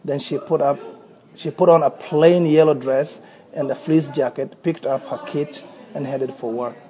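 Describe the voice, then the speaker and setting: Somali accent guy, 30s, kinda high voice but mellow, stumbles and restarts a lot. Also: fairly even intonation, measured pace, low-quality recording. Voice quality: hesitant, with frequent restarts and repeated words. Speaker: accent archive speaker. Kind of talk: reading a passage aloud, then unscripted talk.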